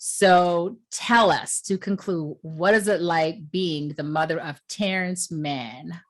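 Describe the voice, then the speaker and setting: A woman's voice: on the low side, slow. A woman hosting a casual interview, enthusiastic and affirming, often asking "you know what I mean". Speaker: podcast host.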